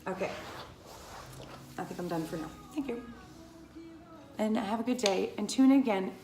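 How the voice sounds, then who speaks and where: A fifty-year-old woman talks straight to the camera in a chatty, rambling vlog. Her voice sits at a medium pitch, and she keeps circling back and adding asides. The sound rings a little off the walls of the room. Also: moderate pace, reverberant, background noise. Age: about 50. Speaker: Pilates instructor. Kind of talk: casual talking-to-camera vlog.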